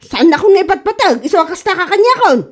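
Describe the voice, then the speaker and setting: A fretful, gossipy wife acted out by a woman in an Ilocano radio comedy. Her voice sits high and she whines and pleads with plenty of exclamation. The accent is Ilocano. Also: animated, fast talker. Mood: nagging, pleading. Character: gossiping, fretful, nagging wife in trouble with neighbours.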